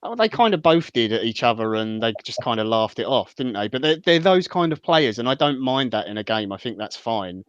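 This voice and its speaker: A man's voice: medium pitch, big pitch swings, brisk, few pauses, clear, resonant tone, no room sound. Rambling, jokey man on a slightly muffled mic. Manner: rambling and jokey, many restarts. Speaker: podcast host. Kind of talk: casual live-stream football chat.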